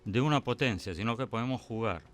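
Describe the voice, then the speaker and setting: Presentador de voz media y bromista. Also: male voice, medium pitch, fast. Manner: conversational, interjecting, joking banter. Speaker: talk-show host. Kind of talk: political talk-show discussion.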